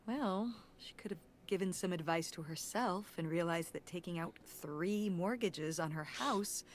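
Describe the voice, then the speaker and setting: An actress playing a weary, gentle middle-aged mother in a naturalistic video game style. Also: lowish voice, unhurried, a little hiss or hum, natural voice. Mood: wry, tired.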